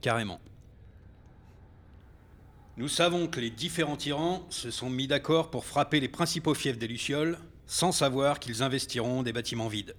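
An actor playing a serious, matter-of-fact rebel leader in a science fiction audio drama. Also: male voice, medium pitch, normal speed, a bit bright, natural voice. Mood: serious, businesslike. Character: reproachful male rebel leader briefing fighters.

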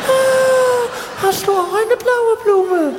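A man voicing a dainty prehistoric woman who coos and gushes slowly over things in a stage comedy act. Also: very high voice, crowd noise, voice pushed up. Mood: dreamy cooing. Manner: slow, drawn-out, gushing cooing. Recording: echoey live hall with audience noise.

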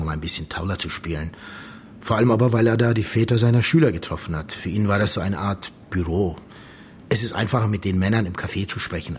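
An actor playing the grave, loyal friend of a murdered man in a radio drama, speaking in an earnest, measured way. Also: deep voice, a little hiss or hum, natural voice. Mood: grave, reminiscing. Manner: measured, earnest, telling things step by step. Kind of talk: German radio drama dialogue.

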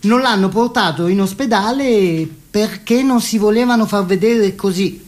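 An actor playing a smug, evasive police chief who hedges and plays things down in a satirical radio theatre interview. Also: male voice, sits high, normal speed, natural voice. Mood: evasive, dismissive. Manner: hesitant, evasive, full of verbal tics. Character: evasive male police chief, smugly minimising, falsely kindly.

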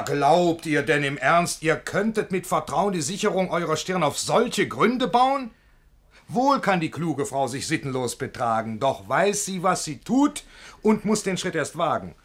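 An actor playing a worldly, wry gentleman who cautions his friend in urbane rhymed verse, in a classic radio play. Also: on the high side, lively intonation. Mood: incredulous reasoning. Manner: urbane, reasoning, measured declamation.

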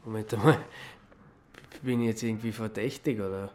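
Actor as a low-voiced grumbling Austrian petty offender. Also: male voice, steady tone, fairly quick, a little mellow, slight background noise, lightly acted. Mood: wary, reluctant. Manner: colloquial, grumbling. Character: grumbling, wary male petty offender doing community service. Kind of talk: naturalistic radio drama acting.

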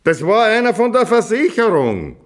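Slick, mocking town official, swooping pitch. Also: male voice, wildly swooping pitch, moderate pace. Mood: irritated. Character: slick, mocking small-town official, male, self-assured. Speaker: radio drama actor. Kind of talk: naturalistic radio play acting.

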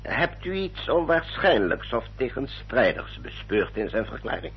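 A man's voice: medium pitch, very animated, measured pace, breathy edge, exaggerated voice. A male actor in a Dutch radio play, playing a kindly, reasonable English family lawyer who talks formally and earnestly. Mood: earnest, probing. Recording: dull, noisy old radio drama recording.

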